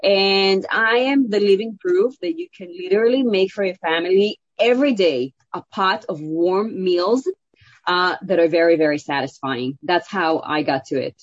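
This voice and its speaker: A woman's voice: lowish voice, normal speed, no room sound. A woman chef and cookbook author answering interview questions, telling her story with enthusiasm and many fillers, in English. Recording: dull, dry audio.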